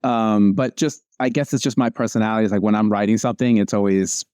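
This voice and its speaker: A man, a comic strip creator, chatting casually as a guest, relaxed and filling his talk with "like" and "um". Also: on the low side, fast talker, clear, resonant tone, dry recording. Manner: relaxed, frequent "like" and "um". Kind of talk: casual conversation.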